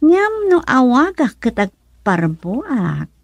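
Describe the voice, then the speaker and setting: Mocking gold digger lady for radio drama, big swoopy pitch, theatrical, close dry sound. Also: sing-song, slow, gravelly, no echo, heavily acted. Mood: scheming, gloating. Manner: taunting, theatrical, laughing mockingly. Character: scheming, mocking, gold-digging woman with a taunting streak.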